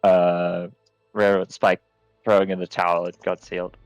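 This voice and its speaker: A man's voice: low voice, little pitch movement, moderate pace, smooth, dry recording, lo-fi sound, soft background music. A man casually talking through a team match over voice chat, hesitant and swearing now and then. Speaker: streamer reviewing matches.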